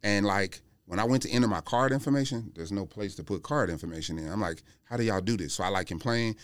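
A man with a fairly low, smooth voice chats informally on a podcast. He is plain-spoken as he airs complaints and anecdotes, with a dry, close sound. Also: fast, no echo. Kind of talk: casual podcast chat about sneakers.

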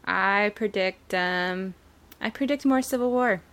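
Female co-host, medium pitch, gravelly fry, relaxed podcast conversation. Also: measured pace. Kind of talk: casual TV recap banter.

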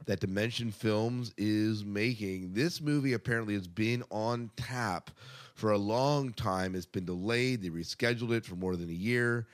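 A man with a fairly low voice hosts a casual entertainment podcast. He moves through news items in a conversational way, offering his take and inviting his co-host to respond. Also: normal speed. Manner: conversational, tosses questions to co-host. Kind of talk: casual movie news chat.

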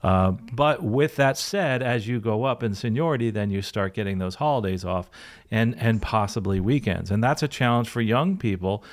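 Guy hosting a podcast interview, slightly deep voice, upbeat and chatty. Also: lively intonation, normal speed. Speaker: podcast host, pilot and career coach. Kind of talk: conversational interviewing.

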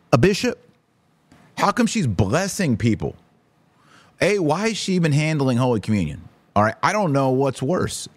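A man's voice: mid-range voice, dry recording. A middle-aged American man hosting an opinion podcast, commenting emphatically with exasperation and personal anecdotes.

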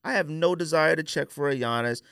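A man's voice: a little high, a little fast, gravelly, a bit bright, smooth, no echo, studio quality. An American man in his forties hosting a podcast, debating sneakers casually and emphatically, repeating phrases for stress. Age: 40s. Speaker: podcast host.

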